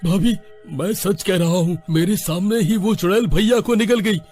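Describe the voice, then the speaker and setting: A man's voice: high voice, normal speed, a little mellow, faint music behind. A man voicing a frightened, timid younger brother in a cartoon story, fretful and pleading. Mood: panicked, pleading.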